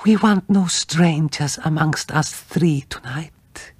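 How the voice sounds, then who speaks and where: A man voicing a fragile young wife in a gothic horror story, with a breathy, half-whispered, trembling and melodramatic delivery. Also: fairly high pitch, slow pace, no echo, pitched above the performer's own voice. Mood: eerie, dreamy whisper. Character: terrified, fragile young wife turned eerily dreamy.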